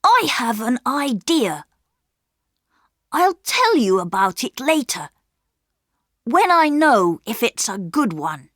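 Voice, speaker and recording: A woman voicing an excitable, stubborn young boy who speaks in a high, earnest voice. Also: slow, long pauses, dry recording, studio quality, full character voice. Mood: eager.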